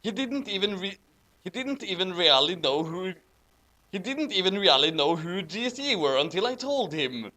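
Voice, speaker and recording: Theatrical man with wildly swinging pitch. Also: big pitch swings, normal speed, bright, forceful tone. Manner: theatrical, hammy emphasis; giggly, breaking into laughter. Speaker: host reading bad fan fiction aloud. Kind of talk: dramatic reading with amused commentary.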